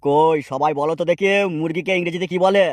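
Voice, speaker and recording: Man doing strict cartoon teacher, hot-tempered, rapid scolding delivery, dry close sound. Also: on the high side, steady tone, no echo, natural voice. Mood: stern. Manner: scolding, threatening beatings. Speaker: schoolteacher. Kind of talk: cartoon voice acting.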